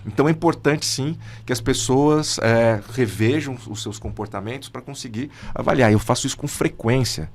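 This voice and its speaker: A man's voice: medium pitch, moderate pace, few pauses. A Brazilian psychologist in his fifties, a podcast guest who talks casually and animatedly, with slang like "cara". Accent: Brazilian Portuguese. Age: 50s. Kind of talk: conversational podcast interview.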